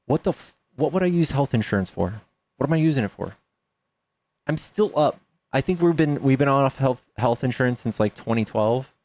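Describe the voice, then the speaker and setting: A man's voice: mid-range voice, expressive, normal speed, a little mellow, no room sound. A man in his thirties chatting casually on a livestream, joking with viewers and reading out donations. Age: 30s. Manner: chatty, reads donations aloud, jokes with chat. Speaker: streamer.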